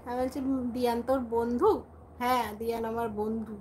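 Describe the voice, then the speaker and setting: A woman's voice: a little high, little pitch movement, fairly quick, darkish tone. A woman hosting a casual live chat, talking informally to her viewers. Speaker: livestream host, vlogger. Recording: some background noise, home livestream.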